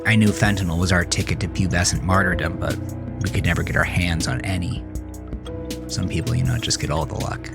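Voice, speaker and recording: Jaded brooding man telling a confessional horror story about his wasted youth, really deep gravelly voice, music under it. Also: steady tone, a little fast. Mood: brooding, bitter. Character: jaded man recalling his angry, self-destructive teenage years. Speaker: narrator of a horror audio drama. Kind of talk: first-person scripted horror narration, dramatic monologue.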